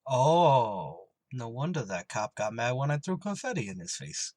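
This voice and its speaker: A man's voice: mid-range voice, big pitch swings, moderate pace, darkish tone, dry recording. A man playing a cheerful, dim-witted tavern regular, goofy and earnest, in an old-time radio comedy.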